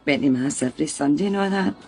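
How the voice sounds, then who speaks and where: A woman's voice: deep voice, quick pace, creaky, dark tone, soft background music. An actress playing a harassed, blunt working-class mother with a Scottish accent in a radio crime drama. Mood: earnest, upset. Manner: blunt, emphatic, colloquial.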